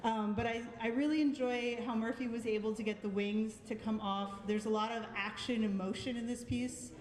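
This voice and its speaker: A woman's voice: on the high side, fairly even intonation, normal speed, a little mellow. A woman with a Boston accent giving a friendly, thoughtful live critique of art pieces, with frequent ums. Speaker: art show juror. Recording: echoey hall with long reverb.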